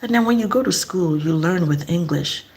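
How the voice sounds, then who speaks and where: A woman's voice: lowish voice, animated, normal speed, a little mellow. A middle-aged woman from eastern Nigeria, speaking in a casual, earnest vlog monologue and asking reflective questions. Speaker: vlogger.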